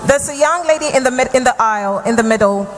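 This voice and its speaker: A woman's voice: sits high, fast talker. A woman moderating a town hall, firmly and politely keeping order and calling on questioners. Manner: firm, polite crowd control. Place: large hall with crowd. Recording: echoey hall, noisy crowd.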